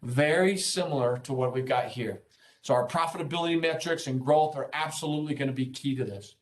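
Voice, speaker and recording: A man's voice: mid-range voice, fairly quick. A man presenting an interactive business webinar, explaining things informally and encouragingly and checking in with his listeners as he goes. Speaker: webinar presenter, senior consultant and trainer. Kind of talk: interactive business lesson, explaining earnings call.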